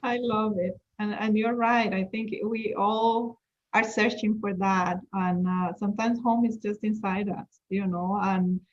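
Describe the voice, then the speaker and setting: A woman hosts a heartfelt chat, speaking at a middling pitch. She talks earnestly and circles back over her words as she looks for the right phrase. Her voice comes through a dull, muffled video-call line. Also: steady tone, measured pace. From Colombia. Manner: earnest, repeats words while searching for phrasing. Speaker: host. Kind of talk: casual conversation.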